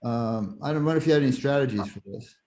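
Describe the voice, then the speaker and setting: A man joining a panel over a video call to ask a question, speaking in a halting way and searching for words. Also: mid-range voice, warm tone. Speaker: audience member asking a question.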